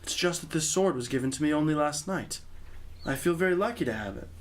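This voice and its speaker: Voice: medium pitch, animated, fast, close to an everyday voice. An actor playing an earnest, impulsive young male knight in an audio drama, exclamatory and courtly in phrasing. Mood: concerned, gallant.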